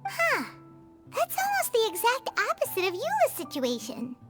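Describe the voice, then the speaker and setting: A woman's voice: very high-pitched, huge pitch swings, normal speed, exaggerated voice. An actress voicing a kind, sincere, encouraging young girl in a video game cutscene, with an earnest, reassuring manner. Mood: curious. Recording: game music under the voice.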